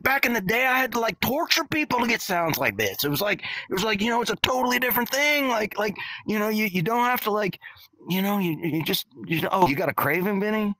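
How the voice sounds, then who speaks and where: A man's voice: high-pitched, bright, forceful tone, exaggerated voice, rough recording. A man playing a laid-back, centuries-old skeleton rocker who talks like a drawling slacker and says "man" constantly. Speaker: bassist and singer in the guest band. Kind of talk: in-character storytelling. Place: virtual-world podcast, dry sound.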